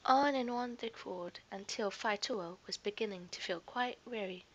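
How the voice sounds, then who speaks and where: A woman's voice: mid-range voice, normal speed, lo-fi sound. A woman narrating a scripted children's story expressively, as a storyteller to young listeners.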